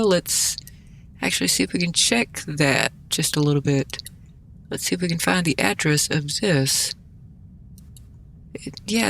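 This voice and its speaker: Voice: medium pitch, gravelly, a bit bright, slight background noise. A gameplay host giving casual, rambling commentary, muttering and thinking aloud in a self-deprecating way. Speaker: gaming video host.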